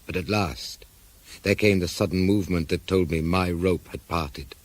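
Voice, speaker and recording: A man playing a policeman hero who narrates his escape in a measured, husky storytelling voice for an audio drama. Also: sits low, no room sound. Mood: measured, grave. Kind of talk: scripted first-person dramatic narration.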